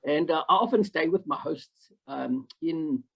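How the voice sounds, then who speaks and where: A South African man giving a rambling, halting comment on a video call, full of fillers and restarts. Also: a little high, expressive, vocal fry, slightly rough. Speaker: audience member asking a question. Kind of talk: online Q&A comment. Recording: dull lo-fi video call audio.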